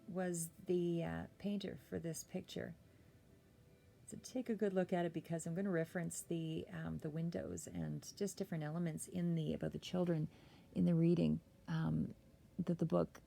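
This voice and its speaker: A woman doing a casual, rambling tarot reading, a little hoarse and clearing her throat, with many fillers and restarts. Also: fairly low pitch, fairly even intonation, measured pace, pauses often, dark tone, slight background noise. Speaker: tarot reader.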